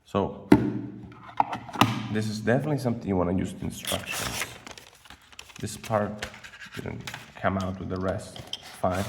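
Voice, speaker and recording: A man with a lowish voice and heavy vocal fry talks through an unboxing. He is casual and unscripted, pausing as he thinks aloud. The mic sits at a distance, with faint noise behind him. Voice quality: gravelly, creaky. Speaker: vlogger presenting an unboxing.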